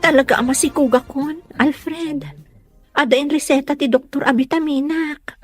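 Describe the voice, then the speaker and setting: A woman's voice: high-pitched, fairly even intonation, moderate pace, soft background music, natural voice. A woman playing a young pregnant wife who is needy, sweet and hesitant in a comedy audio drama. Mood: plaintive.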